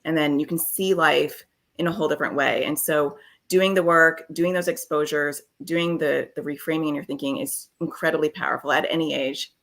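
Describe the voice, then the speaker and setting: Low bright-voiced woman in her fifties. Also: steady tone, a little fast. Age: 50s. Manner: warm, frank, filler-heavy with many ums. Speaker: guest therapist. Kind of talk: casual interview chat.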